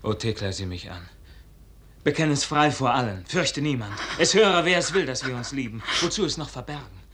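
A man acting a passionate young lover with a husky voice, pleading fervently in a 1950s radio verse drama. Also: medium pitch, lively intonation, moderate pace, fairly bright tone. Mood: pleading, tormented. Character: passionate, tormented young lover bidding farewell.

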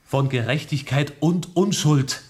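An actor in a radio play playing a sincere, troubled, idealistic revolutionary with a grave, earnest manner. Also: male voice, on the high side, unhurried, lightly acted. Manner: earnest, grave, literary phrasing.

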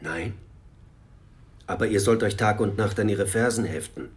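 An actor playing a brusque, composed police commissioner in a radio crime drama, giving orders. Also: male voice, on the low side, normal speed, close to an everyday voice. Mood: matter-of-fact, commanding.

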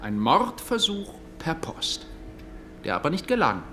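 An actor playing a stern, accusatory lawyer in a radio courtroom drama, speaking in formal, pointed rhetoric. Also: male voice, a little high, huge pitch swings, unhurried, sharp tone, soft background music, exaggerated voice. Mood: accusing, scornful. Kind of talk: German radio play acting.

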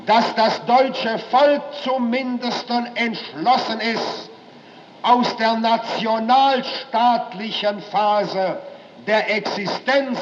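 An actor playing a solemn, impassioned post-war German parliamentarian, giving a formal speech in deliberate, oratorical phrases. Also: male voice, very high voice, recorded from a distance, lo-fi sound, full character voice. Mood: solemn, resolute. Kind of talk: formal political speech to an assembly. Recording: echoing hall from afar, faint noise.

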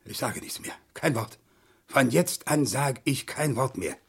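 An actor playing a sly, indignant old murder suspect in a German radio crime drama, agitated and bitter, with a sharp, creaky voice. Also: male voice, mid-range voice, lively intonation, measured pace, heavily acted. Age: older. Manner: agitated, spitting, dropping to bitter hisses.

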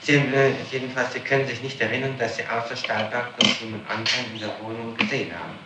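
A man speaking as a prosecutor in a formal interrogation, pressing his questions in a halting, insistent way. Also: mid-range voice, fairly even intonation. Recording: noisy, echoey archival interrogation tape.

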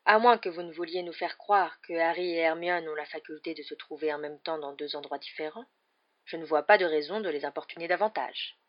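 Une femme qui prête sa voix dans un livre audio à un vieux directeur sorcier calme, bienveillant et amusé. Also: female voice, deep voice, highs cut off, lightly acted. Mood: calm. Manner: serene, measured. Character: calm, kindly, amused old wizard headmaster. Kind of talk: acted audiobook dialogue.

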